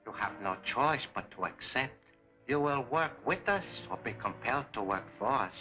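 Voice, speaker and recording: An actor playing a suave, menacing Japanese mastermind in a 1940s film serial, speaking formally with cold, pompous courtesy. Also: male voice, mid-range voice, lively intonation, moderate pace, pushed and bright. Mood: grandly menacing. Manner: formal, grandiose, coolly courteous. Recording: dull 1940s film serial soundtrack, music.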